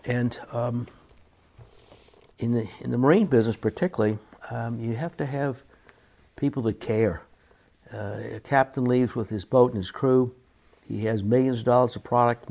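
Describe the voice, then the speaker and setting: A middle-aged man speaking in an earnest, halting, plain-spoken way, as a business owner giving sincere personal remarks. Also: medium pitch, vocal fry, dark tone. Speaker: business owner reading staff emails and reflecting.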